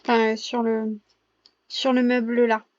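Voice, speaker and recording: A young woman with a high voice, hoarse from a cold, talks through her gameplay in a casual, halting way. She pauses often and corrects herself. The recording is close and dry, with a slightly muffled, lo-fi sound. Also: level tone, darkish tone, dry recording. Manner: hesitant, many filler pauses and self-corrections. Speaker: gaming vlogger.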